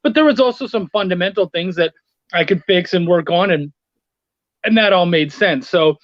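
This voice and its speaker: High-pitched man, dry muffled recording. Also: normal speed, dry recording. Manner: anecdotal, acting out quoted exchanges. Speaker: guest, freelance comics writer and editor. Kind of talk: casual interview chat.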